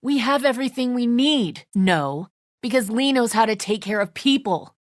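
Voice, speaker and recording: A woman's voice: a little high, lively intonation, moderate pace, no room sound, studio quality, natural voice. A woman voicing a tense, suspicious and defensive leader of zombie apocalypse survivors in a video game, speaking bluntly with biting sarcasm. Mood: sarcastic, defiant.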